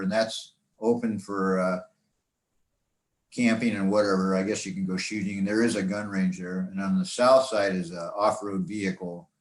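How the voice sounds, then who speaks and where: An older American man with a low-pitched voice talks through a slideshow in a roomy, echoing recording. His delivery is informal and conversational, wandering through memories with halting pauses and asides. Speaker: speaker presenting to a radio club. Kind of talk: informal slideshow talk, reminiscing. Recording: echoey, roomy sound.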